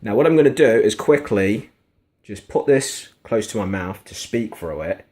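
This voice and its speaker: A man's voice: on the low side, animated, normal speed, dark tone. A man giving a casual, frankly critical product review and demonstration indoors in a conversational way. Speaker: gadget reviewer. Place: indoors in a kitchen.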